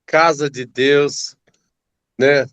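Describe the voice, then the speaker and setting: A man's voice: on the high side, steady tone, extremely slow, a bit bright, clear, resonant tone, no echo, muffled recording. A man taking part in a Bible study, affirming and reading verses aloud. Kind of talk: group discussion.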